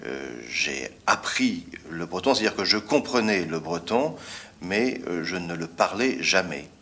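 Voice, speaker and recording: A man from Brittany answering interview questions hesitantly, pausing often. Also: deep voice, animated, a bit bright. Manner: hesitant, many pauses and fillers.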